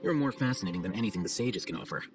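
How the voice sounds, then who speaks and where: A man plays a coolly sardonic, self-assured scholar in a game cutscene. He talks briskly and drily, sounding set back from the mic with music behind. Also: lowish voice, animated, far from the mic, natural voice. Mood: detached. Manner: dry, detached, condescending. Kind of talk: video game cutscene voice acting.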